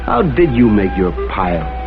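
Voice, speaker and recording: An actor playing a hard-boiled American private detective, dry and terse, in a vintage crime drama. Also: male voice, low voice, very animated, normal speed. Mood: probing, dry. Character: hard-boiled male private detective, wry, probing, coolly persistent. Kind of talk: mid-century American crime drama acting and narration. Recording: tinny vintage soundtrack, faint music behind.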